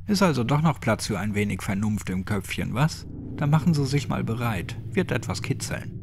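A man voicing a gruff, crude, sadistic old man with a clipped, mocking way of talking, in a horror audiobook. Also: mid-range voice, big pitch swings, moderate pace, background noise. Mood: gloating.

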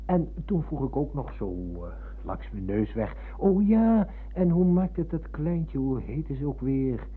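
Middle-aged male guest, husky, courteous, measured storyteller in radio drama, muffled narrow-band sound. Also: on the high side, animated. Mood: teasing, storytelling. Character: middle-aged former pupil, polite, teasing storyteller. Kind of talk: Dutch radio play acting. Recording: muffled lo-fi old radio recording.

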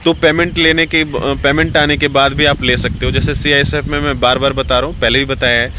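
A man's voice: medium pitch, level tone, a little fast, noisy background. A young man filming himself on a phone outdoors, giving casual, reassuring advice and often saying "theek hai". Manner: reassuring, repeats "ठीक है" often. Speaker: vlogger giving advice to recruits. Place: outdoors, green rural spot under trees. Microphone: phone selfie at arm's length.

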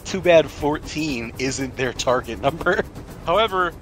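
A man's voice: on the high side, lively intonation, a little slow, a bit bright, soft background music. A man chatting casually as game master in a tabletop role-playing game.

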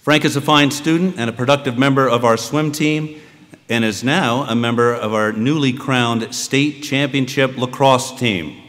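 A middle-aged American man giving a measured, dryly humorous introduction at a formal school ceremony. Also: medium pitch, fairly bright tone. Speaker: school official introducing a student speaker. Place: podium on a stage in a large hall. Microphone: podium microphone. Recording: echoey large hall, long reverb.

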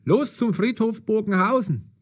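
Actor as a brisk higher-voiced working man. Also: expressive. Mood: helpful. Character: taxi driver and cemetery guard, brisk adult man. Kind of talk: scripted audio story for language learners.

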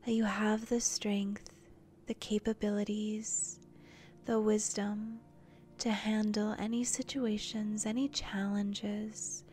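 Slow, soft woman guiding a meditation. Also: medium pitch, a little hiss or hum. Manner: gentle, soothing, short phrases with long pauses. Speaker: meditation guide, channel host. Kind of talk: guided meditation.

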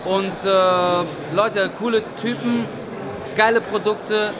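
A man in his thirties, a barber, giving an excited, chatty vlog tour at a trade fair. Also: high voice, slow, very noisy. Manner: upbeat, enthusiastic, chatty. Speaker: vlogger and barber. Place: busy indoor convention hall. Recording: crowd chatter behind.

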